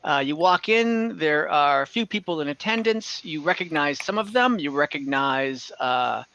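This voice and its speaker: A man acting as game master in an online game, narrating off the cuff in a halting, conversational way. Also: a little high, lively intonation, pushed and bright, lo-fi sound, slight background noise. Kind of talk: improvised narration and table talk in an online tabletop game.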